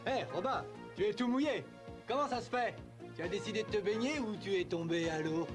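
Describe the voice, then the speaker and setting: An actor playing a cheerful, teasing outlaw companion in an animated film. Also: male voice, high voice, brisk, over music.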